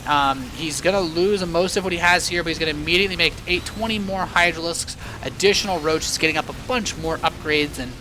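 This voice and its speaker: A man giving live, animated and joking play-by-play commentary on a video game match as an esports caster. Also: fairly high pitch, fairly quick, hardly any pauses, background noise.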